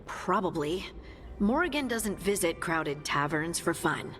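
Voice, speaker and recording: Female scout, fantasy game: brisk, slightly low voice in a reverberant space, soft music. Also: animated. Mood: businesslike. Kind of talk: dramatic video game acting.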